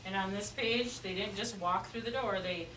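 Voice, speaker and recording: A woman speaks as an elementary teacher leading a classroom lesson. She is encouraging and questioning, pausing as she finds her words. Her voice sits back from the mic in an echoey room with clear background noise. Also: mid-range voice. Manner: encouraging, questioning, hesitant phrasing with pauses. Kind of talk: classroom lesson talk to young children. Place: indoor classroom. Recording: roomy, noisy classroom, distant mic.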